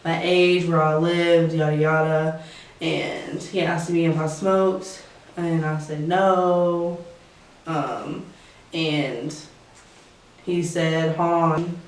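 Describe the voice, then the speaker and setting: A woman's voice: sits low, steady tone, drawn-out words. Hesitant nineteen-year-old woman, echoey and distant. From Kentucky. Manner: hesitant, many ums. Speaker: interviewee.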